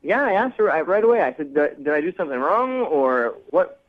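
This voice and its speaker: A man's voice: on the high side, big pitch swings, a little fast. A man calling in to a radio show over the phone, chatting casually in an earnest, slightly hesitant way. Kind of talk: casual chat. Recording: dull lo-fi phone line.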